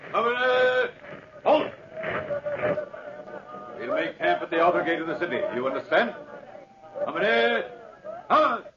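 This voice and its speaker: An actor playing a commanding cavalry officer barking orders in a 1940s radio drama. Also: male voice, high-pitched, animated, measured pace, pauses often, far from the mic, a crowd in the background. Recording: echoey, noisy, dull old radio recording.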